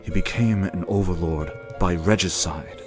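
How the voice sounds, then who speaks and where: An actor playing an earnest, righteous royal commander in an animated fantasy drama, formal and resolute. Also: male voice, sits low, moderate pace, faint music behind, lightly acted. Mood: stern, defensive.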